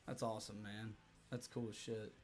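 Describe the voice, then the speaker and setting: A hoarse man in his thirties livestreaming, rambling through stories and joking with chat. He talks loosely, swears freely, and wanders from one thought to the next. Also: on the low side, flat delivery, moderate pace, darkish tone.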